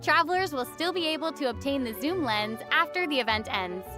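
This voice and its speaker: A woman's voice: high-pitched, animated, fairly quick. A woman co-presenting a video game update program in an upbeat, scripted way with a playful, enthusiastic manner. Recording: music behind the voice. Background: background music.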